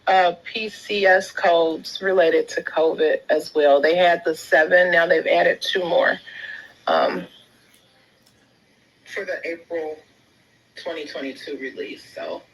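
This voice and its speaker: A woman hosting a live audio chat, relaxed and encouraging, laughing easily as she invites listeners in. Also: lowish voice, slight background noise, rough recording. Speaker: host.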